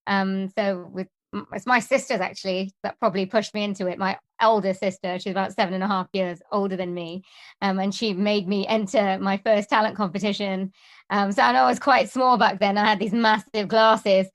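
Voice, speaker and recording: A woman's voice: mid-range voice, steady tone, fairly quick. Hesitant Welsh woman, interview guest. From Wales. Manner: earnest, hesitant with frequent ums. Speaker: guest, singer and voice artist. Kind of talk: casual interview answers.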